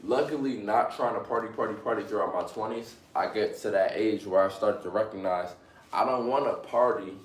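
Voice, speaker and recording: A man's voice: fairly low pitch, brisk, echoey room, poor audio. A man in his late twenties talking casually on a live stream, giving motivational advice and often repeating himself. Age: 20s. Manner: repeats phrases, motivational, fillers like "you know what I'm saying".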